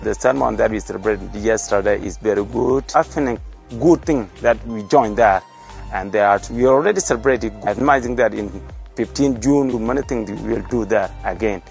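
A young student from South Sudan speaks outdoors on a campus in a low, gravelly voice. He makes short, plain statements. Music plays beneath. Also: normal speed, darkish tone. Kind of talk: interview answers.

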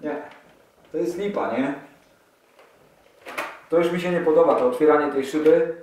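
A man in his forties chats excitedly to camera while trying on motorcycle gear. He sits at a table in a small room, and the distant camera mic makes him sound roomy and echoey. Clicks and handling noise carry clearly behind him. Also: fairly high pitch, normal speed. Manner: chatty, enthusiastic, thinking aloud while handling gear. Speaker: motorcycle vlogger. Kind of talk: casual unboxing vlog.

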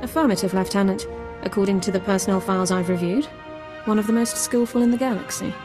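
Woman acting brisk, formal army sergeant. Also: medium pitch, music in the background, lightly acted. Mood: efficient. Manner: clipped, formal. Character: precise, formal young female army sergeant. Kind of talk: video game voice acting.

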